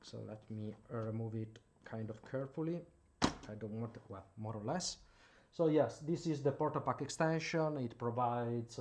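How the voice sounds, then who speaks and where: Italian man, halting hobbyist demo. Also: mid-range voice. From Italy. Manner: hesitant, many filler "uh"s. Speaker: hobbyist channel host. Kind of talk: casual explanatory demo. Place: indoor workbench at home.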